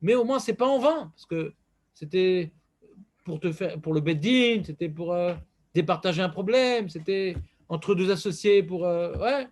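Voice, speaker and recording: High-pitched male teacher running a casual class, playful and teasing. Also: unhurried. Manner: joking, banters with students, animated. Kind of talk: informal Torah class with questions to students.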